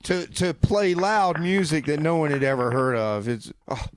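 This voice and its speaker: An actor playing a self-important, easily miffed award winner being interviewed in a radio comedy, halting and boastful. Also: male voice, a little high, expressive, slight background noise, natural voice. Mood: reverent, boastful. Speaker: talk show guest.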